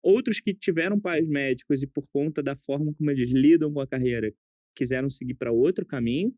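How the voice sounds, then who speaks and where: Male podcast host, friendly interviewer, mellow warm timbre, dry studio sound. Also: medium pitch, fairly quick, no room sound. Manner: friendly, conversational questioning. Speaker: podcast host, general surgeon.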